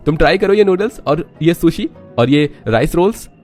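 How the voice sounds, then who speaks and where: A man's voice: on the high side, animated, moderate pace, a little mellow, faint music behind, voice pushed up, lightly acted. A man voicing a cheerful, affectionate young husband and son in a cartoon story. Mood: cheerful, caring.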